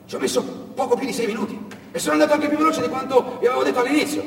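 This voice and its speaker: A man's voice: very high voice, fairly even intonation, very fast, vocal fry, voice pushed up. A man playing a brash, impatient, bossy truck driver in a stage drama, with an urging delivery. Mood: boastful, urging. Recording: echoey hall, distant mic, background noise.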